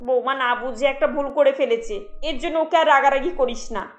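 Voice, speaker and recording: An older mother-in-law from a cartoon, acted by a woman, talks in a high-pitched voice. She admonishes and explains, firm but reasonable. Faint music sits behind her. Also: moderate pace, highs cut off, close to an everyday voice. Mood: conciliatory. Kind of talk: animated cartoon story acting.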